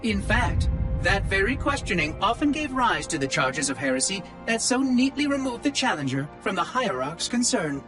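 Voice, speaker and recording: Sardonic machine caretaker, high and bouncy, fussy and wry, game cutscene with music under. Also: male voice, sing-song, moderate pace. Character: chatty, sardonic male AI monitor. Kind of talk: video game cutscene acting.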